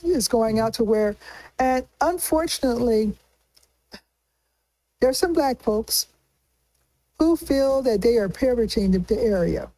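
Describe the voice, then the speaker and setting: A woman's voice: on the high side, animated, unhurried, long pauses, mellow, dry recording, low-quality recording. A woman hosting a casual news discussion in a conversational way. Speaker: host.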